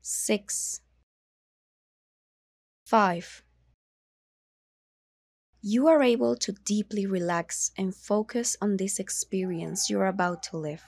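A woman narrating a guided meditation in a soothing, measured manner, leaving long pauses between instructions. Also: mid-range voice, creaky, darkish tone, breathy edge, dry recording, studio quality.

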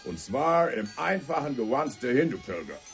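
A gravelly, commanding scout. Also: male voice, mid-range voice, sing-song, normal speed, soft background music. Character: gruff American scout Mister Monsoon, commanding. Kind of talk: 1990 radio play.

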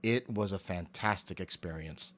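Articulate middle-aged man, measured delivery. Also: deep voice, a bit bright. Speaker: interview guest, amateur voice actor. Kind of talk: prepared interview answers. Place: home office. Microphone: cardioid dynamic mic with pop filter, on a stand.

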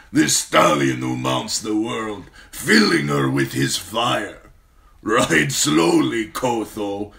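A man doing a slow booming warlord. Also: vocal fry, pushed and bright, full character voice. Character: fierce proud male warlord, stern and commanding.

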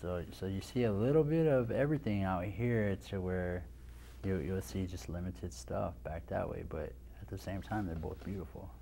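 A man's voice: low voice, moderate pace, vocal fry, warm tone, a little hiss or hum. A man in his thirties from Nebraska, a dance instructor and guest on a studio talk show, talking casually with lots of restarts and "you know" into a lapel mic. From Nebraska, USA. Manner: easygoing, rambling with many restarts and "you know". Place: TV studio set, indoors.

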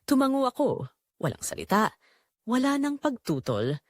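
A woman's voice: a little high, wildly swooping pitch, normal speed, no echo, clean studio sound. A woman narrating a scripted first-person dramatic story.

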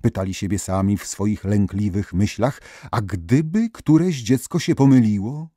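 A man narrating scripted folk tales as a storyteller, expressively and with dramatic pauses. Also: lowish voice, dark tone, no room sound, clean studio sound.

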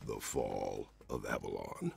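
A man's voice: very deep voice, takes it slowly, gravelly, exaggerated voice. A man reading scripted promotional narration for a video game showcase, with music under his voice. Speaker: showcase presenter.

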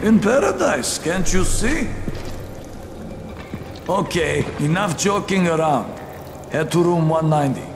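A man's voice: fairly high pitch, very animated, measured pace, vocal fry, long pauses, full character voice. An actor playing a wry, mocking male survivor in a video game, sardonic and teasing. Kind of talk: dramatic video game acting. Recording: noisy background ambience.

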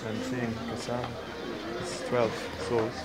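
A man casually narrating his walk through a busy covered market, talking into a handheld camera in a relaxed, enthusiastic way and often trailing off mid-sentence. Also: medium pitch, takes it slowly. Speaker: vlogger filming a walking tour. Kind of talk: casual handheld vlog chatter. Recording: loud crowd noise in a market. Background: loud market crowd.

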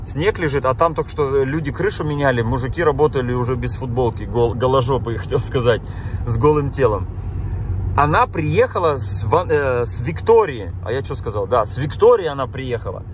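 A man's voice: medium pitch, expressive, fairly quick, background noise. A man born in Kazakhstan who has lived in Germany, chatting casually with his viewers while driving, often exclaiming at what he sees. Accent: Russian speaker from Kazakhstan and Germany. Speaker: vlogger. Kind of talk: casual driving vlog chat. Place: car driving through town.

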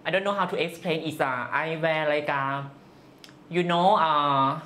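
An interview guest from northeast Thailand with a Thai accent, giving personal answers in halting, simple non-native English. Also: mid-range voice, steady tone, fairly bright tone, slight background noise.

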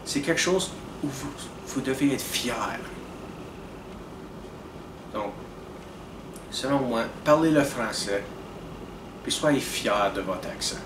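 Young man, earnest vlog commentary, unhurried, echoey room. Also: medium pitch, expressive. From Canada. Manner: earnest, deliberate, with frequent restarts and hand gestures. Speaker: video blogger. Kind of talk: direct-to-camera commentary. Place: indoors in front of a dark curtain. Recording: roomy, distant with air-conditioning hum.

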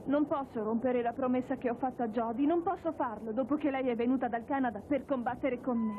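A woman's voice: high voice, a little fast, noisy background, lightly acted. A voice actress playing a sincere, hesitant teenage girl in a cartoon dub. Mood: sincere resolve. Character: sincere, reluctant teenage girl judo prodigy. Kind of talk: cartoon dubbing. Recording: narrow phone-like dub with music behind.

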